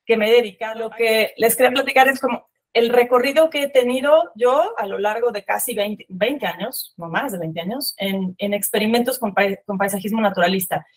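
A woman's voice: on the high side, fairly quick. A woman in her forties from Mexico City with a Mexican accent, giving a webinar talk on a video call, conversational with many fillers and restarts. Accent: Mexican Spanish. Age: 40s. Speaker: landscape architect giving a webinar. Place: room with bookshelves. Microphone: video call webcam.

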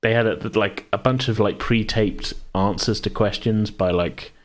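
A man's voice: fairly low pitch, steady tone, a little slow. A British man hosting a casual chat about a tabletop game, rambling and jokey as he talks. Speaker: podcast host and game master.

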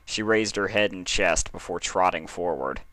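A man narrating a story as an expressive fiction reader. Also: deep voice, moderate pace, no room sound, rough recording.

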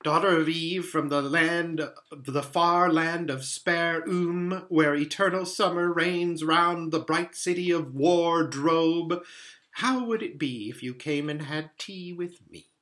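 A man's voice: on the high side, takes it slowly, a bit bright, voice pushed up. A man voicing a courteous, flustered faun in a children's storybook, fussy and polite, often stammering and repeating himself. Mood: hospitable. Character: courteous, flustered young-hearted male faun, eager to please.

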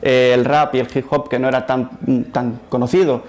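A man in his thirties interviewing a guest in a TV studio, asking questions in a halting way with repeated words, on a lapel mic. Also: mid-range voice, little pitch movement, darkish tone. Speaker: journalist and interviewer. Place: TV studio set.

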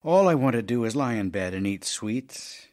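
A man's voice: medium pitch, animated, moderate pace, darkish tone, close to an everyday voice. An actor playing an ailing middle-aged playwright husband in an audio drama, tender, intimate and wistful as he voices love letters. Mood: weary, wry.

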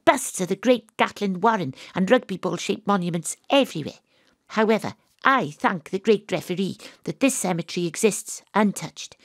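Wry theatrical private detective. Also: female voice, medium pitch, animated, normal speed, no echo, clean studio sound. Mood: atmospheric, florid. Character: sympathetic, self-mocking female private detective narrator. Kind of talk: first-person audio drama narration and dialogue.

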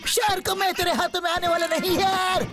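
A man's voice: extremely high pitch, level tone, a little fast. A man voicing a cheeky, brash animal in a comic cartoon dub, with a streetwise, wisecracking manner. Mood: brash, threatening. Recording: loud cartoon music behind.

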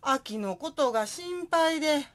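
A woman's voice: high-pitched, lively intonation, slow. A woman with a Kansai accent playing a bossy, blunt dead wife who returns as a comic ghost in a radio drama. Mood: bossy, scolding. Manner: scolding, blunt.

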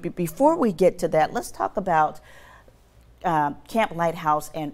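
A woman's voice: fairly low pitch, a little mellow. A woman anchoring a local morning news show, introducing and interviewing a guest in a polished, upbeat presenter manner on a lapel mic. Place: TV news studio.

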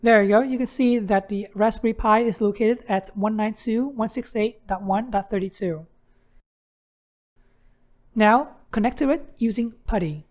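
A woman's voice: a little high, moderate pace, darkish tone. A woman hosting a how-to tutorial, narrating instructions step by step. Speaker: tutorial host.

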